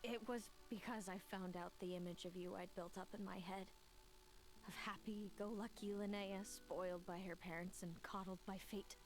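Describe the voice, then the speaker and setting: An actress playing a melancholy, fatalistic young woman seer in a video game, with solemn, measured dramatic delivery. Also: medium pitch, fairly even intonation, lightly acted, rough recording. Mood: anguished confession. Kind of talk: scripted video game voice acting. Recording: loud music under the voice. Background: game music.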